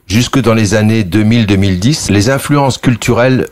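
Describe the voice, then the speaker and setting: A man in his sixties from Algeria, with Kabyle as his first language, giving thoughtful interview answers as a former journalist, often pausing and restarting. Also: fairly low pitch, a little mellow. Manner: thoughtful, frequent restarts and hesitations.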